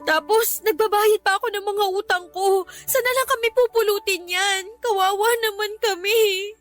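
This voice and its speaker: A desperate girlfriend and mother pleads in a radio love drama. Her voice is very high and full of emotion, with pride under the begging. Soft music plays faintly underneath. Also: fairly even intonation, normal speed. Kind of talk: Tagalog radio drama acting.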